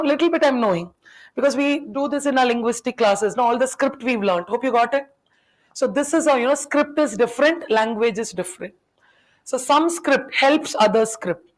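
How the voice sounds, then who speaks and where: A woman's voice: on the high side, low-quality recording. A young adult woman whose first language is Tamil, teaching a classroom lecture. She keeps firing questions at her students and teases them in a chatty way.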